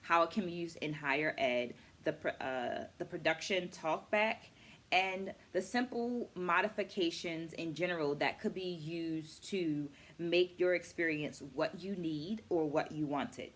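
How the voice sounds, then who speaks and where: A middle-aged woman hosting a book video series, talking conversationally to camera in a measured, teacherly, explanatory way. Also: lowish voice, a bit bright.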